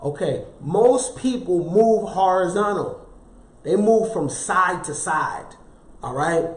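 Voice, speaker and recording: A man's voice: high-pitched, expressive, takes it slowly, echoey room. A man giving a motivational self-help talk, preaching in rhetorical questions, repeating key phrases and dropping casual slang asides to his viewers. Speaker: motivational speaker.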